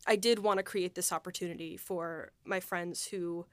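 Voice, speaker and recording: A woman's voice: on the low side, fairly even intonation, moderate pace, dry recording. A young woman in a casual, reflective group chat, effusive and often hesitating around the word "like". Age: young adult. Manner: effusive, hesitant phrasing with frequent "like". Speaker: podcast co-host, writer and producer.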